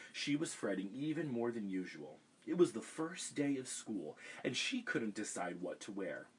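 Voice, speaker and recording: Man, low and husky, kids' storytime reader on a webcam, gentle asides. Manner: gentle, chatty asides to kids. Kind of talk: reading a picture book aloud on a livestream. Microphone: webcam livestream.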